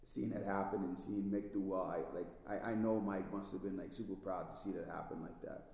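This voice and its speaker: A man's voice: low-pitched, steady tone, brisk, creaky, darkish tone. A man from the Bahamas with a Bahamian accent, hosting a casual interview and talking enthusiastically. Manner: enthusiastic, drops in "man" often. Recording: very echoey room with long reverb.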